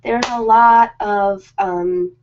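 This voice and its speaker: A middle-aged woman hosting a casual live chat with her viewers, informal and rambling with hesitant pauses. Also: fairly high pitch, fairly even intonation, phone-quality sound, slight background noise. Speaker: ASMR host.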